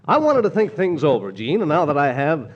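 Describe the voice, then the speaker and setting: A man's voice: a little high, huge pitch swings, brisk, lo-fi sound. An actor playing a cocky, hot-headed, jealous businessman in a 1940s radio comedy, swaggering and threatening. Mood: smug, threatening.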